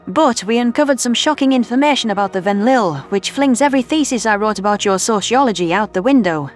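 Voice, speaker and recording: A man's voice: high-pitched, lively intonation, fairly quick. A man voicing a serious, emphatic woman scientist in an audio story. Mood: earnest. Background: faint music.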